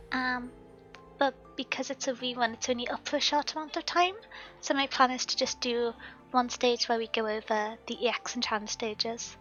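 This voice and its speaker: High bright girly voice, a bit croaky today, soft-spoken gamer chat w/ quiet music behind. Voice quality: softly spoken. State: slightly hoarse, sore voice. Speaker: game streamer. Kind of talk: casual live-stream chat while gaming. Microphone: desk microphone at home. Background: faint background music.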